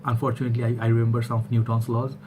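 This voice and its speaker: Animated man vlogging from home, background noise. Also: medium pitch, rapid-fire. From Bangladesh. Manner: animated, gesturing hands, rambling with fillers and restarts. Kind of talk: casual opinion monologue to camera. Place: small indoor room at home.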